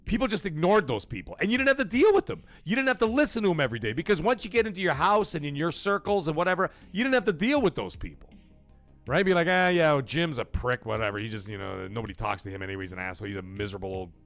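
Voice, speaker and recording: A man's voice: on the high side, very animated, quick pace, a bit bright, soft background music. A middle-aged man hosting a gaming livestream, talking casually and rambling, swearing freely as he goes.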